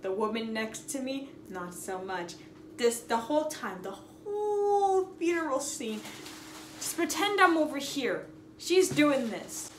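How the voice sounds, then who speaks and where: A young woman with a high voice tells a casual vlog story in an echoey room with some background noise. Her delivery is expressive and slangy, leaning on dramatic emphasis and repeated phrases. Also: unhurried. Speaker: storytime video host.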